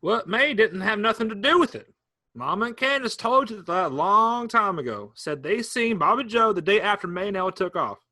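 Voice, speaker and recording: A man's voice: sits high, expressive, moderate pace, bright, forceful tone, no echo, clean studio sound, exaggerated voice. A man playing a guarded farm son in a staged reading, giving short, curt replies. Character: defensive farm son, uncle to the boys.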